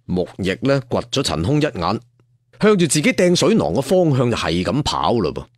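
A male storyteller narrates a serial tale in theatrical, colloquial phrasing. His pitch is on the low side and moves widely, and the sound is dry and close. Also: huge pitch swings, normal speed, dry recording.

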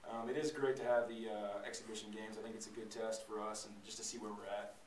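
A man's voice: fairly low pitch, flat delivery, quick pace. A young man, a college basketball player, answering press conference questions in a rambling way with lots of "uh" and "you know". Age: young adult. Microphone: distant camera across the room. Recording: roomy, distant camcorder with room noise.

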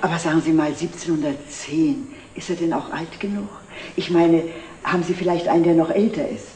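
A woman's voice: low-pitched, fairly even intonation, a little fast, warm tone, close to an everyday voice. An actress playing a well-off, chatty middle-aged lady customer in a 1960s TV drama. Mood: inquisitive. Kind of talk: 1960s German television drama acting. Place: indoor antique shop set. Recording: roomy, noisy old TV soundtrack.

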